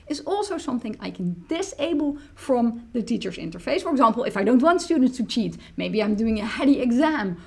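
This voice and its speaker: A woman in her thirties, a native Dutch speaker, explaining a software tool step by step in a screen-recorded tutorial from a small room. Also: on the high side, creaky, husky. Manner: explanatory, walks through clicks step by step. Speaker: teacher tool demonstrator.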